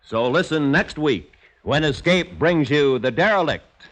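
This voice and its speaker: A man's voice: a little high, unhurried, rough recording. A man announcing credits and upcoming programs for a 1950s radio network in a polished announcer style. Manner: smooth, lively announcer delivery.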